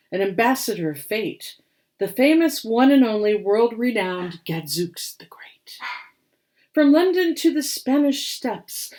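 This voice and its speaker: Woman doing dramatic storytime reading, rhyming picture book, kinda theatrical, middle pitch. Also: rough recording. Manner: expressive, theatrical delivery. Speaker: librarian and storyteller, host.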